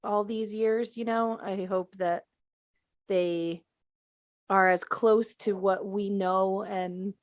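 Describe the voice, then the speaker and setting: A woman's voice: mid-range voice, fairly even intonation, slow pace, no echo, clean studio sound. A woman chatting casually as a panelist in a podcast discussion.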